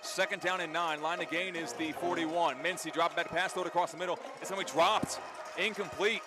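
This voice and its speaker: Male football play-by-play announcer, high-pitched, energetic and vivid, live radio broadcast with music behind. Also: a little fast.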